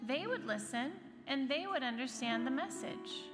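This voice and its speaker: A woman reading the part of a gentle, hopeful little female robin in a storybook, soft-spoken and earnest. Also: high-pitched, animated, normal speed. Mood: softly hopeful. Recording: reverberant hall, music behind the voice.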